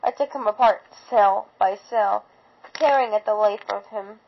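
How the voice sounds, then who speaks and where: A woman's voice: mid-range voice, unhurried, gravelly, lo-fi sound, slight background noise. A woman reading a first-person fan fiction story aloud with dramatic narration.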